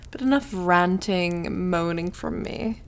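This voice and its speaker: A woman's voice: fairly low pitch, measured pace, clean tone, close to an everyday voice. A woman voicing a suave, condescending devil in an ASMR roleplay, drawling and over-polite in a theatrical, taunting way. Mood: smug, taunting. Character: suave, mocking, condescending devil who deals in soul contracts.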